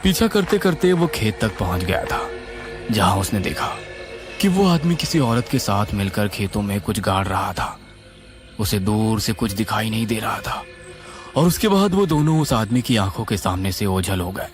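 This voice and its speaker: A man narrating a scripted horror story in a suspenseful, dramatic, expressive way. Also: on the low side, moderate pace. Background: music.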